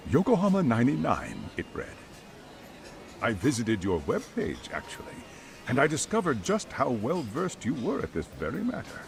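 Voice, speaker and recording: A man's voice: mid-range voice, huge pitch swings, moderate pace, vocal fry. An actor playing a polite, formal middle-aged private school chairman in a video game cutscene, speaking in careful, wordy sentences. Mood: enthusiastic.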